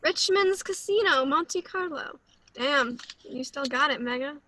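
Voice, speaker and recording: Woman doing a cocky bumbling spy dude, high bright voice, breezy and flippant. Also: animated, a little slow, a little hiss or hum, exaggerated voice. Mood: smug. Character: cocky bumbling male American secret agent. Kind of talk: comedy script table read.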